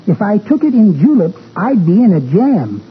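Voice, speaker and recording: Meek henpecked husband, muffled old radio. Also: male voice, high voice, very animated, slight background noise. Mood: prim, reciting. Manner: mild, precise, drolly deliberate. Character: meek, henpecked little husband reciting silly poems. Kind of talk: 1940s radio comedy acting.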